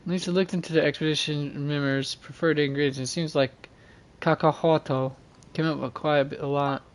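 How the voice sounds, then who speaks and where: A livestreamer chatting casually and reading game text aloud, often musing and thinking out loud. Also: mid-range voice, fairly even intonation, normal speed. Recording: clearly noisy background.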